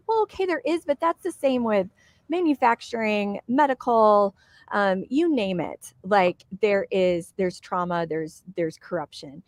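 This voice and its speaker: Hoarse woman chatting on a live stream. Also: on the low side, dry recording. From Michigan. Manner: chatty, laughs between phrases, many fillers. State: hoarse from a cold. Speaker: co-host of a live political chat. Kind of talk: casual live-stream chat. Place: outdoors.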